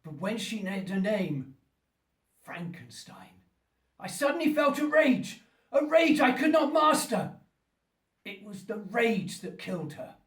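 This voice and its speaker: Wretched eloquent monster, very high voice, radio play, grandiloquent, roomy and distant. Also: male voice, sing-song, a bit bright, exaggerated voice. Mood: brooding. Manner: slow, grandiloquent, pleading and menacing delivery. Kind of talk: theatrical radio play acting. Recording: roomy echo, distant from the mic.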